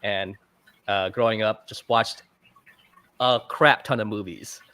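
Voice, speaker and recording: A man's voice: on the low side, steady tone, takes it slowly, pushed and bright. A man guesting on a livestream interview, talking casually about his filming work with lots of fillers and self-deprecating jokes. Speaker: guest, fish channel videographer. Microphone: video call mic.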